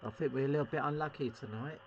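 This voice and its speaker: A man's voice: mid-range voice, fast talker, highs cut off, slight background noise. A British man chatting casually as a CB radio hobbyist on his video channel, rambling hesitantly in a self-deprecating way. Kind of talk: casual hobby vlog chat.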